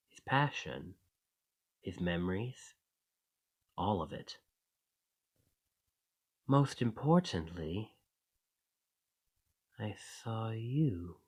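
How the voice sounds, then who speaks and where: A man voicing a possessive, sinister shapeshifter monster in an intimate ASMR roleplay, speaking in a coaxing, deliberate, softly menacing way. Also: fairly low pitch, breathy edge, heavily acted. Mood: tender, obsessive.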